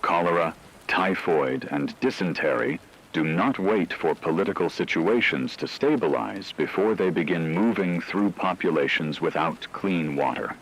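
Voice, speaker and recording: A man reading a scripted emergency news bulletin in a grave, measured, formal way, as a radio announcer. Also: sits low, creaky, slight background noise, poor audio.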